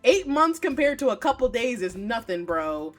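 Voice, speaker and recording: Excitable, foul-mouthed woman streaming a game. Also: fairly high pitch, moderate pace, faint music behind. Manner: excitable, profane, slangy, exclaims loudly. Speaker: live streamer playing a visual novel. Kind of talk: game commentary, casual reactions between reading aloud.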